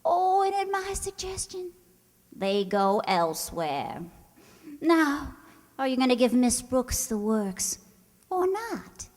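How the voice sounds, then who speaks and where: Slow, haughty, scheming woman rival, acted out. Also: high-pitched, big pitch swings, darkish tone, big-room sound, full character voice. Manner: sly, condescending, falsely sweet. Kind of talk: old-time radio comedy acting.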